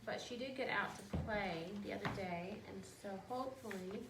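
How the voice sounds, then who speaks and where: A woman reading children's picture books aloud in a simple, expressive storytelling way, with casual asides. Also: medium pitch, normal speed. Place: outdoors in the wind, with animals. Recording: echoey, distant, noisy windy outdoor recording.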